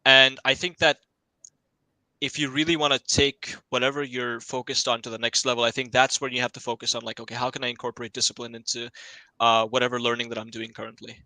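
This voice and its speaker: A young man with a very bright, sharp voice and a dry, close sound answers as a panel guest. He talks informally and reflectively, thinking aloud and often adding little tag questions. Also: medium pitch, level tone, quick pace, dry recording, rough recording. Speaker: self-learner guest on an education panel.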